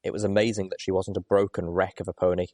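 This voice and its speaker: A man narrates a first-person story in a fairly low voice. His reading is expressive, in audiobook fashion, and the recording is dry with little room sound. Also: quick pace, no echo. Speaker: audiobook reader.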